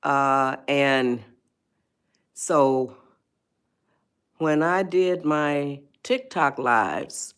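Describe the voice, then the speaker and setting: An eighty-one-year-old woman chats on her live stream. She talks slowly and drifts between thoughts. She laughs easily and stops to greet her viewers. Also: a bass voice, lively intonation, clean tone. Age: 80s. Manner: chatty, rambling, laughs often, greets viewers. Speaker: livestream host. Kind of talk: casual live-stream chat.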